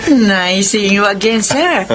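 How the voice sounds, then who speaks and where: A woman's voice: medium pitch, animated, takes it slowly. An actress playing a sociable, playful woman guest in a Tagalog drama. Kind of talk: Tagalog television drama acting.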